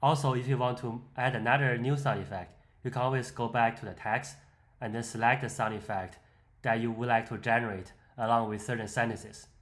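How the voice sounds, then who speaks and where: A man giving an explanatory, step-by-step voice-over for a software demonstration. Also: medium pitch, steady tone, fairly quick. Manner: step-by-step, instructional. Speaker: software tutorial presenter. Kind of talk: explanatory screen-demo voice-over.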